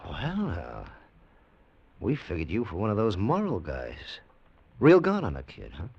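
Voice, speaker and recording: A menacing American gangster from an old-time radio play. His voice is very low and husky, with lively swings as he taunts in slangy, falsely polite talk. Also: measured pace, no echo, highs cut off, heavily acted. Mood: mocking. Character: menacing, mocking male gangster, coolly threatening with a gun. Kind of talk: 1940s radio drama acting.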